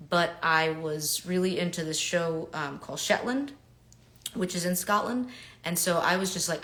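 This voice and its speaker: A slightly low-voiced woman from New York in her thirties talks casually to fans while signing autographs. She is chatty and upbeat, trailing into quiet murmurs as she writes. She speaks into a webcam mic indoors at home. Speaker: actor signing autographs on a livestream.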